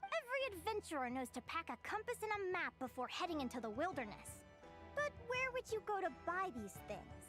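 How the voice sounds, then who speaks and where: A woman's voice: very high voice, big pitch swings, fairly quick, loud music behind the voice. A woman voicing an eager, bubbly young guild advisor who lectures with gushing enthusiasm, in a video game. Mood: lecturing. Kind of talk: game voice acting. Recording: game soundtrack behind.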